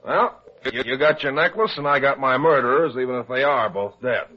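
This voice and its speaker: A man playing a slow-witted, easily astonished cop sidekick in an old-time radio drama, plain-spoken and full of puzzlement. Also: medium pitch, lively intonation, natural voice. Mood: wry. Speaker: supporting actor. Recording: dull, lo-fi old radio recording.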